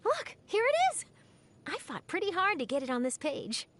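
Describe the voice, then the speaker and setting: A perky young female game reporter, very high voice, swooping intonation, theatrical, plucky, excitable. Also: moderate pace, full character voice. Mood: chatty. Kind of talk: animated video game character voice lines.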